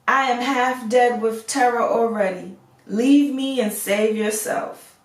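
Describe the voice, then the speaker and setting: A woman's voice: on the high side, fairly even intonation, slow pace. A woman voicing a frightened merchant father in a fairy tale, pleading fearfully. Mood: terrified pleading. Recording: roomy echo, distant microphone.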